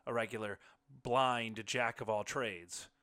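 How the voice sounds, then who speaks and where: A man narrating a literary short story in the first person, with measured phrasing. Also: medium pitch, fairly even intonation, bright, forceful tone. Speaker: audiobook narrator.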